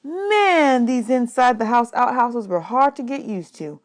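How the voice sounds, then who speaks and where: A woman's voice: on the high side, animated, unhurried, voice pushed up. A woman voicing a polite, wide-eyed young orphan boy in a storybook, folksy and exclamatory. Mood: amazed.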